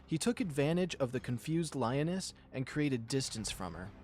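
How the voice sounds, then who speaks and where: Mid-pitched man narrating a story. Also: big pitch swings, moderate pace, darkish tone, slight background noise. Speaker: visual novel reader and channel host. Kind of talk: dramatic storytelling narration with casual commentary.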